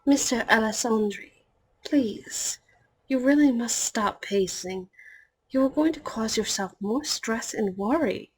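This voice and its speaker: A woman's voice: on the high side, a little slow, slightly rough, low-quality recording. A woman playing a patient, reassuring hospital nurse in a scripted audio roleplay, speaking politely and formally. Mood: calm, reassuring.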